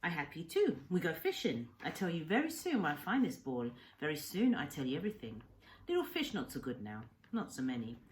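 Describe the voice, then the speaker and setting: Kindly old Japanese castaway doctor played by a woman reader, halting emphatic phrases, swooping intonation, storybook telling. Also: sits low, wildly swooping pitch. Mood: cheerful, eager. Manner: halting simplified English, earnest emphatic short sentences.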